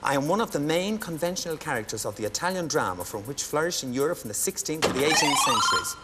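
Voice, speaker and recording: Brisk quiz-show host, man. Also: a little high, sing-song. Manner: crisp, formal announcing, lifts into cheer for winners. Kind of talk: brisk game-show hosting. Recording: studio audience and background noise.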